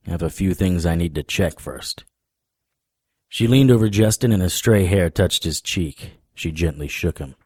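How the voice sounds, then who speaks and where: An actor playing a wry, world-weary male paranormal investigator, narrating a first-person noir audio drama in a deadpan manner. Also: low voice, no room sound, studio quality. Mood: wry, matter-of-fact.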